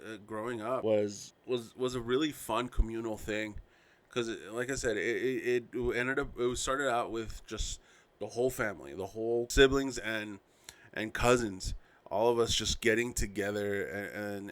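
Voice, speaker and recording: Low-voiced man rambling through memories. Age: 30s. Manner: rambling, self-correcting, loose storytelling. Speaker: podcast host and father. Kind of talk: casual solo podcast reminiscing.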